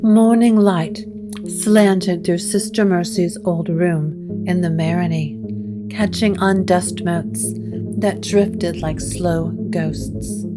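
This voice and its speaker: A woman giving measured, atmospheric scripted narration for a gothic story. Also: fairly low pitch, steady tone. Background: plucked guitar music.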